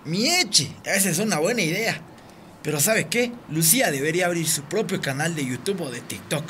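A man's voice: fairly high pitch, lively intonation, normal speed, pushed and bright, a little hiss or hum. A man with a Bolivian accent playing a principled, earnest teenage boy in a radio drama. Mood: concerned, eager.

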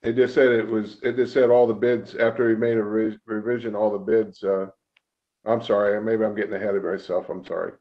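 A man on a board asking a question on a video call, hesitant with many pauses and apologies. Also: on the low side, flat delivery. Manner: hesitant, full of uhs and apologies. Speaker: board member. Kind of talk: casual question at a meeting. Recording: dull lo-fi video call audio.

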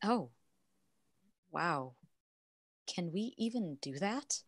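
Anxious young wife, dry close sound. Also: female voice, fairly low pitch, very animated, drawn-out words, dry recording, full character voice. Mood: subdued, unsure. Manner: casual modern speech, dramatic. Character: anxious, proud young wife hiding a loss. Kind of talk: naturalistic radio play acting.

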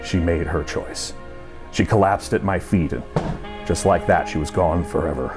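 Man acting deep-voiced brooding noir narrator. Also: normal speed. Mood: anguished, fatalistic. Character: brooding lovelorn noir man narrating his own doom. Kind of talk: old-time radio suspense drama narration. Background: music and storm sound effects.

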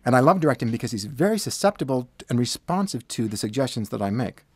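A man's voice: mid-range voice, sing-song, quick pace, darkish tone, husky, dry recording. An older man speaking casually in an interview as an audiobook director, recorded in a small studio.

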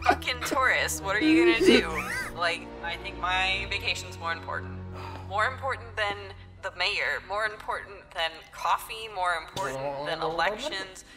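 A sardonic young woman, played by a woman ad-libbing in a comic video game dub. She rambles in a blasé deadpan at a middling pitch, over background music. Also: moderate pace, natural voice. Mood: sardonic, griping. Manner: rambling, deadpan, casual swearing. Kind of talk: improvised comedic video game fandub.

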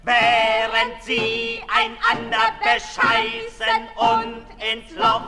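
High, sardonic chanting herald. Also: male voice, sing-song, extremely slow, gravelly, full character voice. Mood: mocking. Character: declamatory male herald chanting mocking revolutionary verse. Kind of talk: 1960s German radio play, half-sung verse. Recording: reverberant 1960s radio recording. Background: music.

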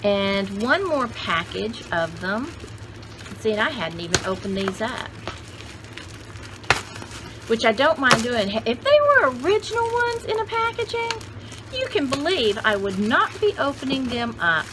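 A woman's voice: fairly high pitch, big pitch swings, very noisy. An older woman in her sixties chatting casually and thinking aloud as she hosts a home show-and-tell video, recorded on a camera in a small room. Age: older, about 60s. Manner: unhurried, conversational, thinking aloud. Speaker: vintage haul video host. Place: small indoor room with cluttered shelves.

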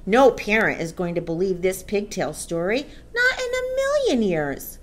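A woman's voice: medium pitch, sing-song, a little slow. A woman voicing a clever, assured schoolgirl in a storybook, explaining things emphatically and with certainty. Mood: emphatic, assured.

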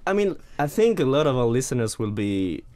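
A young man from France hosting a casual radio interview in a studio, speaking lively with frequent laughs. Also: mid-range voice, brisk. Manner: lively, laughs often, repeats words. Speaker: radio host. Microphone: studio mic on a stand.

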